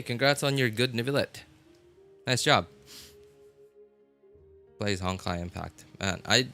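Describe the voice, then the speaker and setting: A man with a fairly low voice speaks casually as a game streamer, with music playing behind him. He thinks aloud as he answers chat, circling back and repeating his phrases. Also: bright, forceful tone, smooth. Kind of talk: casual live stream chat while gaming, answering viewers.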